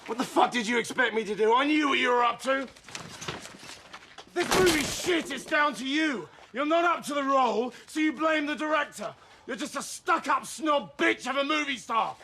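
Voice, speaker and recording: A man's voice: very high-pitched, fast, hardly any pauses, bright, forceful tone, a little hiss or hum, exaggerated voice. A man playing a volatile, abusive film director, combative and insulting, in an explosive screen drama. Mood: accusing, furious.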